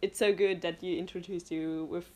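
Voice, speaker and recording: A woman's voice: on the low side, fairly even intonation, moderate pace, darkish tone. A young woman from Austria interviewing a guest on a podcast, hesitant with many fillers and restarts. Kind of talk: casual conversation.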